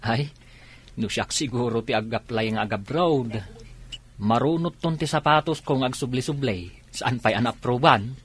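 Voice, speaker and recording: An actor playing a respectful, rueful family driver with a Filipino accent in an audio drama. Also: male voice, medium pitch, moderate pace, fairly bright tone, close to an everyday voice. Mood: deferential, rueful. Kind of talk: Ilocano audio drama acting. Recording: faint noise behind the voice.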